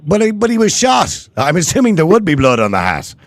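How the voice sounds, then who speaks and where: A man's voice: on the high side, wildly swooping pitch, brisk, dry recording. An Irish man hosting a radio phone-in, talking emphatically, cutting in on callers, and voice jumping up when he is indignant. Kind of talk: opinionated talk radio chat.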